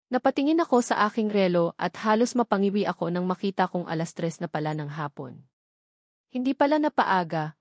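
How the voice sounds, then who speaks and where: A woman's voice: medium pitch, a little mellow, no echo, studio quality. A woman with a Filipino accent reading a scripted first-person story as an audiobook narrator.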